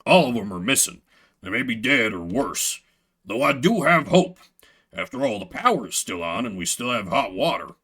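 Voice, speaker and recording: A man acts out a grave, self-important video game janitor. The voice is bright and forceful and moves widely in pitch. He speaks formally, treating humble maintenance work as a solemn military commission. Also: big pitch swings, moderate pace, husky, full character voice. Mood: grave, dutiful. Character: solemn, grandiose adult male janitor devoted to maintenance.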